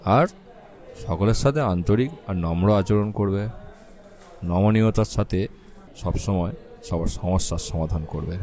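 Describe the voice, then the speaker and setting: Fatherly older railway boss, deep mellow voice, formal and courteous, cartoon story. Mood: fatherly, earnest. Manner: formal, measured, peppers speech with English. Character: senior railway officer, fatherly, courteous older male mentor. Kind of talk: dramatised audio story dialogue.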